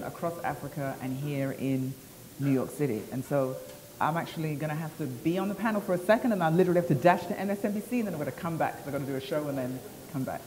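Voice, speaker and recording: A British woman introducing herself briskly on a panel, rattling off her work. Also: sits low, big-room sound, low-quality recording. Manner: brisk, energetic, lists achievements. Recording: loud crowd behind.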